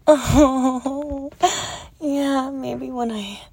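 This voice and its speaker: Very slow, breathy, sultry woman. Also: sits high, steady tone, a little mellow, dry recording. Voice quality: breathy, often dropping to a whisper. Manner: moaning, sighing, slow sultry coaxing; teasingly dominant. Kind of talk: erotic audio roleplay, intimate partner address.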